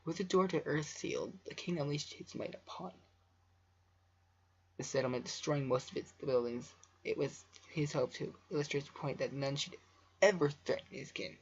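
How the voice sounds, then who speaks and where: A man's voice: on the high side, moderate pace, creaky, breathy edge. A man reading a story aloud casually for a video, stumbling over words and breaking off into offhand asides. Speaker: amateur fan fiction reader and video creator. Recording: dull lo-fi home recording.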